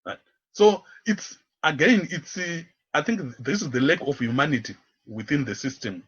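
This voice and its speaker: A man speaking unscripted as a radio interview guest, in a halting, searching way, often repeating words. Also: on the high side, animated, fairly bright tone, husky, dull audio. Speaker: interview guest, community volunteer and activist.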